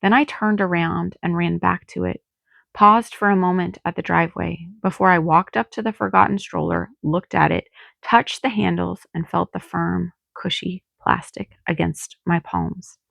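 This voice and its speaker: A woman reads fiction aloud in an expressive audiobook style. Her voice sits a little low with a gravelly fry at the edges, and the recording is dry and close. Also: moderate pace, dry recording. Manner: dramatic, acts out dialogue. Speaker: author reading own novel. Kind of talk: expressive audiobook narration in first person.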